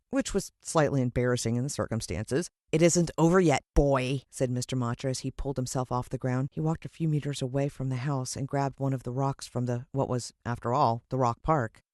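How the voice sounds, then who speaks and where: Female American narrator for children's fiction: expressive, suspenseful storyteller, dry sound. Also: a bass voice, dry recording. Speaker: audiobook narrator.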